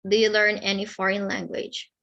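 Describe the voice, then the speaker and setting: A woman's voice: mid-range voice, little pitch movement, gravelly, sharp tone, muffled recording. A woman teaching an online lesson, speaking patiently and checking in with "okay?" after each point. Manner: slow, patient, repeats key words with "okay?".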